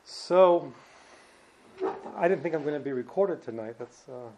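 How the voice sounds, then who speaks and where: A man in his fifties with a New York accent, giving an informal personal talk as a guest speaker in a halting, slightly uneasy way. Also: fairly high pitch, expressive, noisy background. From New Jersey and Brooklyn, New York, United States. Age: 50s. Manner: hesitant, halting, slightly ill at ease. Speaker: guest speaker, former yeshiva student.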